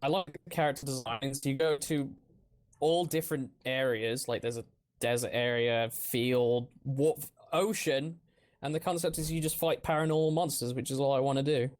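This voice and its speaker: A man's voice: mid-range voice, moderate pace. A man co-hosting a podcast, casually presenting crowdfunding projects to the group. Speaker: podcast co-host.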